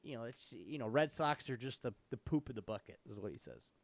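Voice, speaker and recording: A man's voice: medium pitch, animated, brisk, no echo. A man co-hosting a casual live gaming show with playful banter. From North America.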